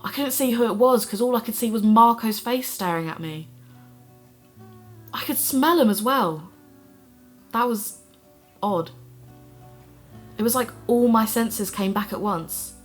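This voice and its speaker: A woman's voice: fairly high pitch, lively intonation, measured pace, long pauses, faint music behind. A woman in her twenties voicing a troubled young podcaster in an audio drama, talking candidly to a voice recorder. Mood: brooding. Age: 20s. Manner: candid, self-deprecating, talking to herself. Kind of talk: audio drama, diary-style monologue.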